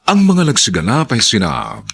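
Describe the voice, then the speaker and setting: A man with a Filipino accent narrating a radio drama as its announcer, in a dramatic, deliberate scripted delivery. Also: fairly low pitch, huge pitch swings, creaky, fairly bright tone, husky.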